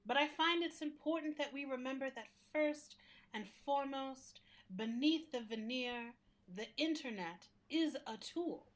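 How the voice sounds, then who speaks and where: Woman as high-voiced, caring talking library. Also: a little slow. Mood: thoughtful, passionate. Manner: earnest, reflective, politely opinionated. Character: earnest, caring public library building talking as a person. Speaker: actor playing the interview guest. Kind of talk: radio play acting, conversational interview.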